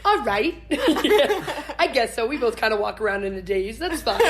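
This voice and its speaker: A woman's voice: fairly high pitch, lively intonation, measured pace. An American woman in her twenties chatting casually as an interview guest, with an informal, giggly manner. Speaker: interview guest, camp staff leader. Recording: noticeable background noise.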